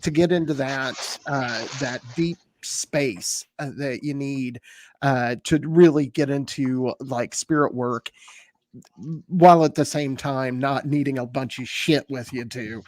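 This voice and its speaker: Relaxed man with stammering restarts. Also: medium pitch, takes it slowly. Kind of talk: casual conversation.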